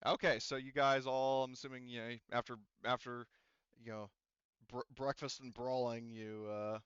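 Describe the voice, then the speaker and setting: A man's voice: mid-range voice, little pitch movement, moderate pace, fairly bright tone, smooth, no echo, dull audio. A man narrating a tabletop roleplaying game as game master, casually improvising and often searching for words.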